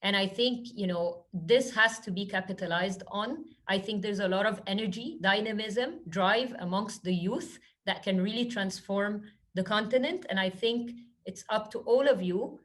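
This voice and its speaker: Woman, from Egypt, medium pitch, webinar guest speaker, earnest, long flowing answers. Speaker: guest speaker, social entrepreneur. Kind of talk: webinar Q&A talk.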